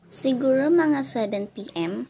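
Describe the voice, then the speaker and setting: A woman's voice: high-pitched, lively intonation, unhurried, mellow, husky, full character voice. A young woman acting as one of a group of friends, the suspicious one, in an amateur student radio drama. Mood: casual. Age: young adult.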